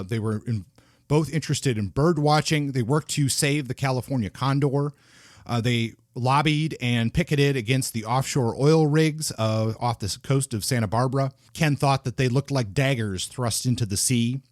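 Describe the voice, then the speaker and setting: A man's voice: medium pitch, darkish tone, no echo. Man talking books on a podcast, dry mic. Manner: unscripted, with fillers and restarts. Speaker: podcast host. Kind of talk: conversational podcast talk about books.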